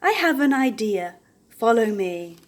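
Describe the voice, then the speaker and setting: Pompous grunting male warthog for a picture book, played by an older woman, slow, swooping delivery. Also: a little high, very animated. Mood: bossy. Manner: grunting, self-important. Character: vain, bossy male warthog, politely pompous.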